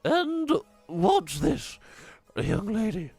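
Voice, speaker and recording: A man's voice: wildly swooping pitch, drawn-out words, vocal fry, a little mellow, faint music behind, heavily acted. A man voicing a strict, scornful middle-aged mother while reading a children's book aloud. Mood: stern scolding. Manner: shrill, scolding, overbearing.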